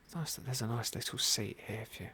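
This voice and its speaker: A British man narrating an ASMR game playthrough in a gentle, polite, understated manner. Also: lowish voice, fast, slight background noise. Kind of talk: whispered ASMR roleplay and commentary.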